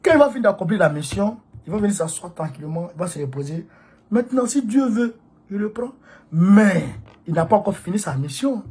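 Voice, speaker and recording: A man's voice: sits high, a little mellow, breathy edge. A man, who has a cold, giving an impassioned political monologue to supporters in an emphatic, repetitive, preachy way. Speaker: political activist addressing supporters.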